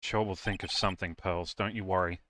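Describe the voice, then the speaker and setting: A man voicing an earnest, thoughtful young defense attorney in a deliberate, theatrical read of video game dialogue. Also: deep voice, fairly even intonation, noisy background, close to an everyday voice. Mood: earnest, pondering. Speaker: streamer dubbing a video game live.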